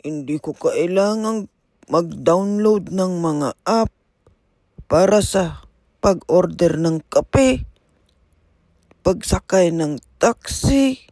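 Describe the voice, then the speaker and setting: A man's voice: high voice, expressive, vocal fry, a little mellow, no room sound, clean studio sound, exaggerated voice. A man voicing a nostalgic, complaining old man with a Filipino accent. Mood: grumbling, nostalgic. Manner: slow, complaining, wistful.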